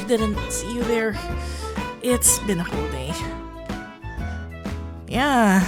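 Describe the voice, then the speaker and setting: A woman playing a sweet, flustered florist best friend in an ASMR roleplay, playful and awkwardly hesitant. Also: a little high. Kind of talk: ASMR roleplay acting, one-sided dialogue.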